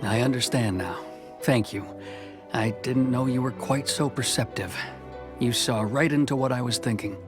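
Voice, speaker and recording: A man's voice: medium pitch, lightly acted. A man playing a stern, reserved young official. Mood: reflective. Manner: formal, reserved, measured. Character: stern young male samurai-style official, conflicted. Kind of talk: video game voice acting. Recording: game soundtrack music faint behind.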